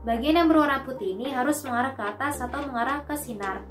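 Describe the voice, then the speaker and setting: Young woman, fairly high voice, careful polite step-by-step instruction, student demonstrator, echoey room. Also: fast talker. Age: young adult. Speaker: dental student demonstrating a procedure. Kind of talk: instructional demonstration, scripted narration. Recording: echoey room with music behind.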